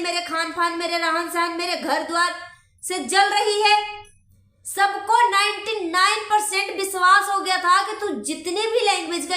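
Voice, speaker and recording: Very high-pitched woman on a scolding rant. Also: pushed and bright. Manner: scolding, indignant, emphatic repetition. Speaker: vlogger commenting on a social media dispute. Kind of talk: impassioned direct-address rant. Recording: echoey room.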